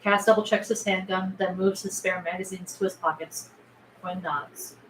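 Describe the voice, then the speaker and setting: A woman's voice: fairly low pitch, steady tone, normal speed, fairly bright tone. A woman narrating the scene directions of a film script at a table read. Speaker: stage-direction reader. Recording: roomy echo with clear background noise.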